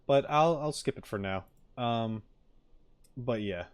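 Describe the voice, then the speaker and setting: A man's voice: medium pitch, slow pace, smooth. A man streaming in a casual chat style, hesitant as he thinks aloud.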